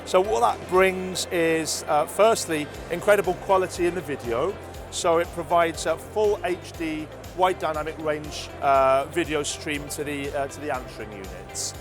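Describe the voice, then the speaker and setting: A man explaining a product conversationally as an interviewee, measured and often hesitating with ums and filler phrases. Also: a little high, over music.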